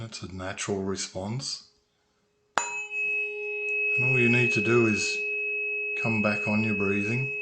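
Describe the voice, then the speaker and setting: A man leading a guided meditation as a calm, unhurried instructor, leaving long pauses between phrases. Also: on the low side. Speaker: meditation guide, sound healer. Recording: noisy recording with ringing tuning forks. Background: humming tuning forks.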